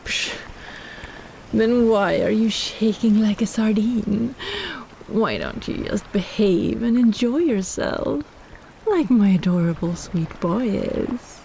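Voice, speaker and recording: A woman's voice: medium pitch, slow pace, creaky, warm tone, slight background noise. A woman playing a possessive, teasing kraken in a roleplay audio drama, cooing and bossing like a doting big sister.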